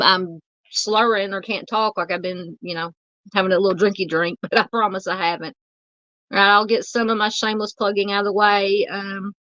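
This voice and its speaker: A Southern woman hosts a casual livestream, rambling warmly through comments with plenty of ums and uhs. Her voice sounds tired and on the edge of giving out. The sound is a bit dull and muffled, with a dry, close feel and no room echo. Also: mid-range voice. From Southern United States. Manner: rambling, chatty, many fillers. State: losing her voice. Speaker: true crime livestream host.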